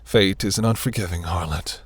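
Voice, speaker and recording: Guy doing a smug possessive demon prince, really low husky voice, silky and commanding, fantasy roleplay audio. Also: fairly quick, no echo. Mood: wistful, musing. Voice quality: low, gravelly, breathy.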